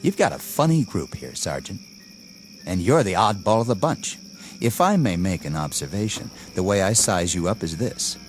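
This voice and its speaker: An actor playing a zealous young army lieutenant in a radio drama, commanding and scornful in a clipped way. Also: male voice, low-pitched, very animated, normal speed, a little hiss or hum. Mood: scornful, needling. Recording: radio drama with music underneath.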